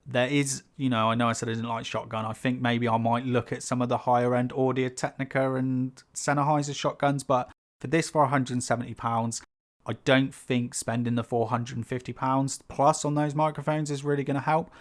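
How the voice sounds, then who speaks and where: Man, mid-pitched, sibilant and slightly rough-throated, rambling casual delivery, small home room, overhead condenser mic. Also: level tone, a little fast. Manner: rambling, chatty, many fillers and asides. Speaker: YouTube creator. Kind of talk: casual unscripted talk to camera. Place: small carpeted home room with foam panels. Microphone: pencil condenser on a stand above the speaker.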